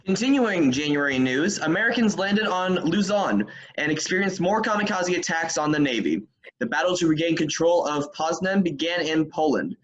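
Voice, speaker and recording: Man acting sober, higher-voiced wartime newsreader. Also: expressive, normal speed, fairly bright tone, poor audio. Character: sober 1940s male radio news announcer. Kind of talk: amateur table read of scripted news. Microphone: video call.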